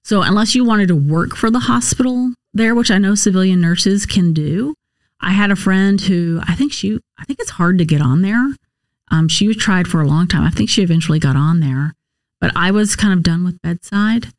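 A woman's voice: mid-range voice, moderate pace, no echo. American woman in a dry, close interview. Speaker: interview guest, nurse case manager and former Marine officer. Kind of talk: conversational interview answers.

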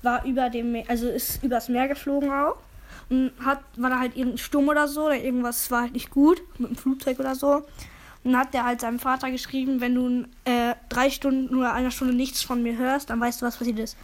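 A hoarse woman chatting casually as a podcast co-host, rambling with lots of fillers. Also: sits high, level tone, fairly quick. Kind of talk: casual chat.

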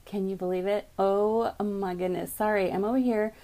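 A woman hosting a live morning podcast from her home office, chatty and upbeat, greeting viewers by name, with a voice she calls calming, on a computer mic. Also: mid-range voice, moderate pace. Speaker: podcast host. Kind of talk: casual live video chat.

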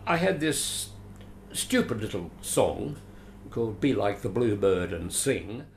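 Brief: older Australian actor, gravelly, theatrical storyteller, roomy home recording. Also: male voice, on the low side, takes it slowly. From Adelaide, Australia. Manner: animated, theatrical, chuckling. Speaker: guest, veteran actor. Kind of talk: storytelling interview. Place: his home. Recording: roomy echo, faint hiss.

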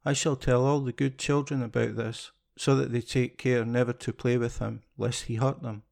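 A man voicing a kindly old male poet in a storybook reading, speaking softly with pity. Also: mid-range voice, level tone, normal speed, gravelly, natural voice. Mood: gentle.

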